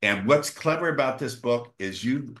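A man's voice: medium pitch, animated, normal speed, fairly bright tone, a little hiss or hum. A man hosting a podcast interview, chiming in with affirmations and steering toward the wrap-up. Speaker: podcast host.

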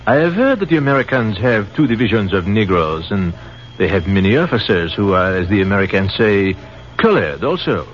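An actor playing a gracious, wry French artillery captain with a French accent, speaking in a courtly, philosophical way, in a 1940s radio drama. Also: male voice, lowish voice, animated, a little fast, lightly acted. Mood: earnest. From France. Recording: dull old radio broadcast recording with faint hiss.

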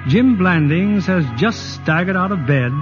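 Male radio-play narrator, expressive scripted read over clear music, dull vintage broadcast sound. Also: sits high, a little slow.

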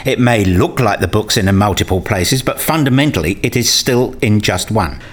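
A man's voice: lowish voice, animated, moderate pace. A man acting in a scripted radio play as an earnest debater who explains his points insistently and didactically. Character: earnest, argumentative man debating ideas with a professor.

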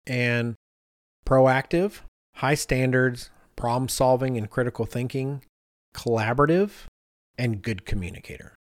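A man's voice: mid-range voice, measured pace, dry recording, clean studio sound. A man hosting a conversational podcast, talking informally and thinking aloud as he goes. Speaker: podcast host.

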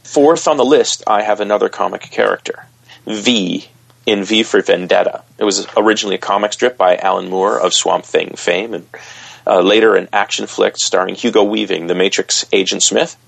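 A man's voice: fairly low pitch, steady tone, normal speed, creaky, fairly bright tone, breathy edge, no room sound. A man guesting on a podcast interview, describing favorite characters with enthusiastic storytelling. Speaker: guest author.

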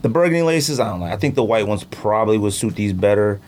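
Animated man chatting casually, noisy background. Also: fairly low pitch, fast talker. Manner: animated, slangy, playful. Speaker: podcast host. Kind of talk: casual sneaker chat.

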